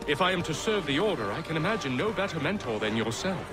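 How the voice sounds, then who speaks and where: A man's voice: on the high side, very animated, quick pace. An actor playing a young male officer in a game, eager, formal and deferential. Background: loud music.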